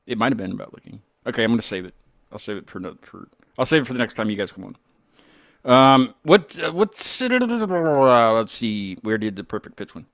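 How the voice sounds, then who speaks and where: A man's voice: fairly low pitch, animated, fairly quick, gravelly, dry recording. A man hosting a casual chat, joking and rambling as he goes. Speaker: podcast host interviewing a band.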